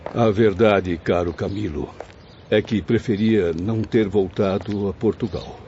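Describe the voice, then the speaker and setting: An actor playing an anguished, restless male spirit in a radio serial, speaking in slow, plaintive, formal phrases. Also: fairly low pitch, fairly even intonation, warm tone. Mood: troubled, despondent. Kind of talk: dramatic radio serial acting. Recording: muffled audio with faint background noise.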